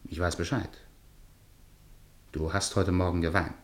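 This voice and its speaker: An actor playing a strict, jealous guardian in a radio drama, stern, sarcastic and lecturing. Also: male voice, sits low, fairly even intonation, a little slow, echoey room. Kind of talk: theatrical radio drama acting.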